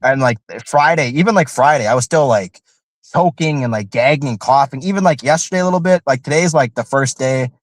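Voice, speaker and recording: A man on a podcast talks in long, meandering runs, packed with filler words and restarts. His voice has a faint nasal tint from a recent illness, and his pitch sits in a middle range. The sound is dry and close, with no room echo. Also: fairly quick. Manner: rambling, heavy on filler "like". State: recovering from illness, slightly nasal. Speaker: returning co-host. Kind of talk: casual podcast chat.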